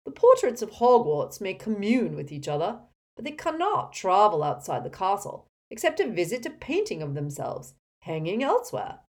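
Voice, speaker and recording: A woman's voice: mid-range voice, huge pitch swings, normal speed, few pauses, a little mellow, pitched above the performer's own voice. A woman voicing a pompous, indignant old male wizard in a talking portrait, in an audiobook. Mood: scornful, weary. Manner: haughty, supercilious, scornful. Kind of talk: audiobook character acting.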